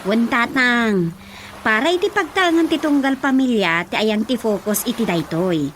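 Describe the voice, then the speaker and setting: High, animated Ilocano woman played by an actress. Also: normal speed, slight background noise, natural voice. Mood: earnest, respectful. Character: eager, respectful daughter leading a village women's group. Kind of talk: radio drama acting. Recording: radio drama with music behind.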